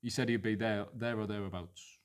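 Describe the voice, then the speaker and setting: A man's voice: lowish voice, steady tone, a little fast, clean tone. Geordie man analysing football casually. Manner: fluent, analytical, thinks aloud with restarts. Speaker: football podcast co-host.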